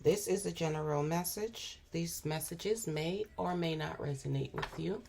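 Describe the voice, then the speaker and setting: A hoarse woman with a cold, giving a spiritual tarot reading in an unhurried, musing way with frequent pauses and fillers. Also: deep voice, noisy background. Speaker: tarot reader.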